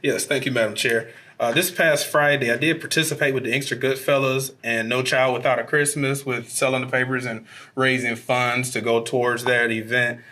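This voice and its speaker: Slightly hoarse man making a public appeal. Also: medium pitch, steady tone, normal speed, sharp tone. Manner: earnest appeal with frequent fillers. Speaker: city councilman. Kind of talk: council meeting remarks. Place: city council chamber.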